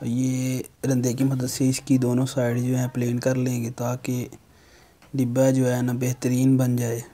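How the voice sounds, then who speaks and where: A young man hosts a how-to tutorial. His mid-pitched voice stays very even in tone as he explains each step plainly, like an instructor. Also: measured pace. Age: young adult. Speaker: how-to video host.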